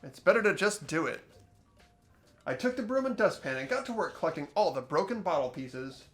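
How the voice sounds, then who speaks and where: A man's voice: on the high side, big pitch swings, a little fast. A man playing an earnest, mild-mannered teenage schoolboy in a game voice-over, hesitant and questioning. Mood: earnest reasoning. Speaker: amateur voice actor. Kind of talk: fan voice-over of a visual novel game. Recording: game music under the voice.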